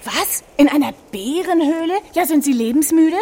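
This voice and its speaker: A highish, expressive woman balloon adventurer from a children's radio play. She chats eagerly to young listeners like a born storyteller. Light ambient noise sits behind her. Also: moderate pace. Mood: astonished, concerned. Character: adventurous, chatty woman hot-air balloon pilot and reporter.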